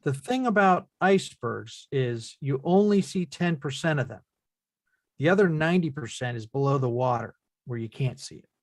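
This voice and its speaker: A man's voice: mid-range voice, lively intonation, normal speed, no echo, clean studio sound, close to an everyday voice. A man playing a frustrated, earnest adult son in a naturalistic dramatic table read. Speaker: actor in a screenplay table read.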